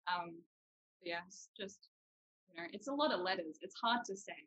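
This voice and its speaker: A woman's voice: mid-range voice, expressive, normal speed, a bit bright, breathy edge, low-quality recording. A young adult woman, explaining things informally and reassuringly as a guest in a casual chat. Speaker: guest, university student.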